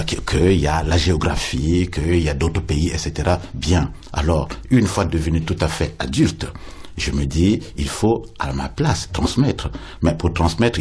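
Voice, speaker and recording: A man, a poet guest in a radio interview, speaking slowly and lyrically, often repeating words as he searches for them. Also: extremely low pitch, darkish tone, husky.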